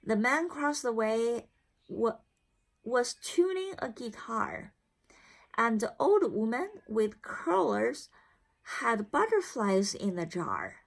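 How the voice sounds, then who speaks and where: High, expressive, slow woman storyteller. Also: gravelly. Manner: expressive, deliberate phrasing. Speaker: storybook reader. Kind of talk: children's read-aloud narration.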